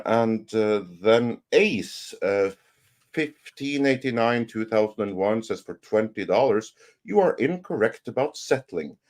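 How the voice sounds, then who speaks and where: A man hosting a casual livestream panel, reading viewer messages aloud haltingly and adding brief comments. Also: fairly low pitch, a little mellow.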